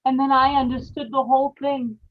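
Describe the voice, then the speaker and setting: A woman's voice: sits high, level tone, brisk, lightly acted. A woman playing a terrified, guilt-ridden wife losing her grip, in old-time radio horror style, dramatic and obsessively repetitive. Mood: panicked. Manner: dramatic, haunted, repeating words obsessively. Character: terrified, unraveling young farm wife, guilt-ridden and paranoid. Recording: dull lo-fi live recording with background noise.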